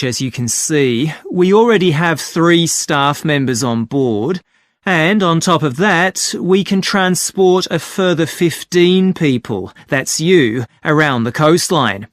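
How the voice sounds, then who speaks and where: Cheerful, higher-pitched male tour guide. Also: a bit bright, no room sound, clean studio sound. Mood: cheerful, welcoming. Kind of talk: scripted exam listening talk.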